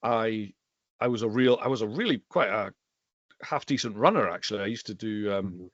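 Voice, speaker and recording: A middle-aged man from the Western Isles of Scotland, a native Gaelic speaker with a Highland accent, chatting conversationally as an interview guest. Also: moderate pace, no room sound, lo-fi sound. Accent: Scottish Highland. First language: Scottish Gaelic. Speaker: guest, bookseller and Gaelic teacher.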